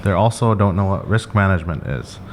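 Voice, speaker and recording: A young man from the US with a somewhat low voice tells stories as a podcast guest. He pokes fun at himself and chuckles through his anecdotes. A faint background hiss is present. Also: expressive, fairly quick. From United States. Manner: self-mocking humor, chuckling. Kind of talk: casual interview chat.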